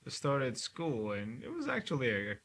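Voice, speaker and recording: A middle-aged man from Cameroon, a neurosurgeon talking reflectively in an interview, often ending points with a quick "right?". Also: medium pitch, huge pitch swings, a bit bright, slightly rough. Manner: reflective, frequent "right?" tag questions. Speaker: neurosurgeon guest. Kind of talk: relaxed interview conversation. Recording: faint hiss.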